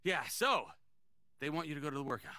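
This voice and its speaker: A bright, high-pitched male hustler works as a small-time sports agent. He swoops through grandiose, theatrical pep talk like a salesman in a video game scene, recorded close and dry. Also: expressive, quick pace, clear, resonant tone, dry recording. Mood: gushing, excited. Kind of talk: acted video game cutscene dialogue.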